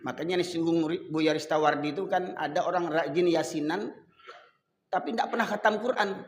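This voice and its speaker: High-voiced Medan-accented preacher, echoey hall. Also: male voice. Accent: Medan Indonesian. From Sumatra, Indonesia. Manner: rises and falls, slows to chant Quran verses. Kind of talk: sermon.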